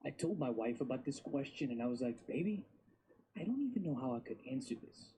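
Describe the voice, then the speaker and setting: A man's voice: medium pitch, lively intonation, fairly quick, mellow, slightly rough, poor audio. A man in his thirties from Bosnia and Herzegovina, a guest talking casually and emphatically, repeating his words. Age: 30s. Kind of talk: casual interview talk.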